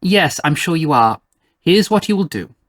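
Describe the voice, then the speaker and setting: A man's voice: fairly high pitch, sing-song, normal speed, a bit bright. A man voicing a haughty, condescending fairy queen in a storybook tale, with an imperious, clipped manner. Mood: imperious, brisk. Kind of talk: acted storybook dialogue.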